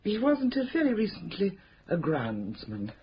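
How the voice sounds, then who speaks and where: An actress playing a prim, mischievous, scheming spinster who runs a charity, in a radio drama. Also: female voice, lowish voice, huge pitch swings, normal speed. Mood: confiding. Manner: arch, coy, prim and mischievous. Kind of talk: 1970s radio drama acting. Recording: dull slightly noisy radio drama recording.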